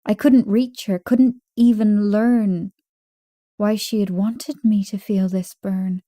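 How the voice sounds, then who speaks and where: A woman reciting poetry in a measured, deliberate way, pausing between short phrases. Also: mid-range voice, fairly even intonation, mellow, no echo, studio quality. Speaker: poetry reader.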